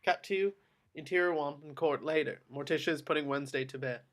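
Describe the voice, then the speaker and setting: A man's voice: fairly high pitch, fairly quick. A man reading screenplay action descriptions aloud as narrator in scripted narration.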